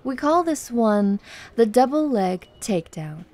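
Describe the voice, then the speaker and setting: A woman playing a confident, flirtatious tomboy MMA coach in an ASMR roleplay, speaking softly and teasingly to the listener. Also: fairly high pitch, very animated. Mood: instructing, playful. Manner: slow, teasing, giggly, encouraging.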